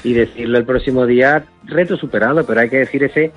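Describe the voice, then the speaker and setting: A man's voice: mid-range voice, fast, dull audio, soft background music. An older man, a doctor and radio guest with a non-Catalan Spanish accent, joking and teasing in a fatherly way. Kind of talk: casual radio chat.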